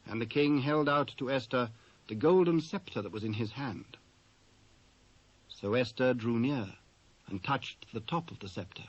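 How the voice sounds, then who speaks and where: A man with a British accent reading scripture as a measured, formal narrator. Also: mid-range voice, husky. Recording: dry, dull old cassette transfer.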